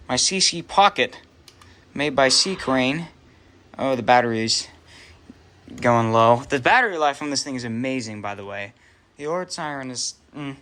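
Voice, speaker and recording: A man with a bright, forward voice at a middling pitch gives an unscripted show-and-tell for his hobby video. He speaks casually and haltingly, often breaking off and picking up again in an offhand way. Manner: halting, offhand, self-interrupting.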